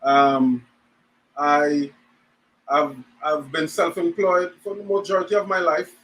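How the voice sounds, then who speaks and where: A man's voice: fairly high pitch, flat delivery, slow, fairly bright tone, a little hiss or hum, rough recording. Hesitant man in his forties. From Trinidad and Tobago. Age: 40s. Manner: hesitant, lots of ums and restarts. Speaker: guest. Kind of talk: casual chat on a video call.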